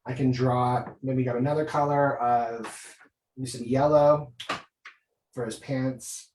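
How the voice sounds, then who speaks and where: A man's voice: medium pitch, fairly even intonation, slow, a little mellow, slight background noise. A man, a guest illustrator, chatting casually and enthusiastically, thinking aloud as he demonstrates drawing. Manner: enthusiastic, informal, thinking aloud while working. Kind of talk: casual art demonstration and chat. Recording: roomy echo, away from mic.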